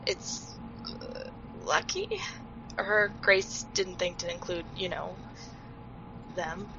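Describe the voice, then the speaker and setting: A woman's voice: lowish voice, fairly even intonation, slow pace, over music. A woman playing a desperate, determined fugitive in a fantasy audio drama, urgent and insistent, on noisy lo-fi audio. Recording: dull, noisy lo-fi recording.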